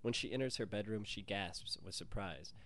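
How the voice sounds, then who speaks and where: A man's voice: lowish voice, normal speed, fairly bright tone, slight background noise. A man reading his own first-person short story as scripted narration.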